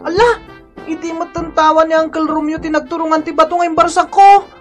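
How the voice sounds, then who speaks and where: A woman's voice: very high-pitched, level tone, a little fast, faint music behind. A woman voicing a sheepish, mischievous young boy in a radio comedy-drama, with an Ilocano accent. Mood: nervous.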